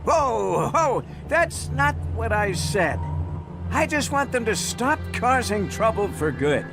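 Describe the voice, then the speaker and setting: A man's voice: high-pitched, sing-song, takes it slowly, gravelly, music in the background, full character voice. An actor playing a fussy, anxious male city official in a video game, easily flustered. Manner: flustered, stammering when rattled. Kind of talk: video game dialogue acting.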